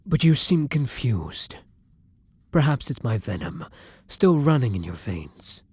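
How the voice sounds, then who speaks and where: A man playing a kind, tormented vampire in an intimate roleplay audio drama, murmuring softly and breathily in formal, old-fashioned phrasing. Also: mid-range voice, very animated, slow pace, husky, no room sound, exaggerated voice. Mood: urgent, resolute. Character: kind, tormented male vampire, tender and protective lover.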